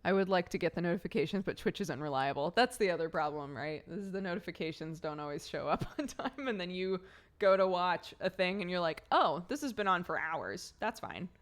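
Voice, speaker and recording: A woman's voice: fairly low pitch, animated, a little fast. A young woman streaming, chatting casually with viewers, slightly congested from a cold, rambling and laughing often.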